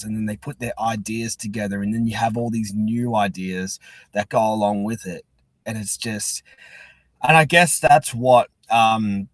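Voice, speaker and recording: A man's voice: medium pitch, no echo. A man hosting a casual chat-style interview, talking with effusive enthusiasm. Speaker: host interviewing a guest.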